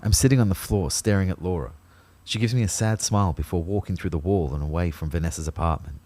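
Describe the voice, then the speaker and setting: Man acting introspective, low-voiced ghost narrator. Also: fast, warm tone. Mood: subdued, uneasy. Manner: introspective, intent. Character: anxious, lovelorn dead young man narrating his limbo. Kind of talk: first-person audio drama narration.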